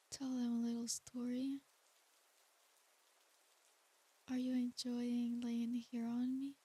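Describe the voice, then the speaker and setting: A woman playing an ancient, tender and seductive succubus demon in a soft ASMR bedtime roleplay. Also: sits high, exaggerated voice, poor audio. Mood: tender, teasing. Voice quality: soft, breathy. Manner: slow, intimate, soothing bedtime delivery.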